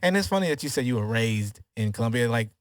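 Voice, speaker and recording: A man's voice: mid-range voice, expressive, quick pace, clean tone, no echo, studio quality. A man hosting a podcast interview, joking and slangy, laughing often. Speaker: podcast host. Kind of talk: casual interview banter.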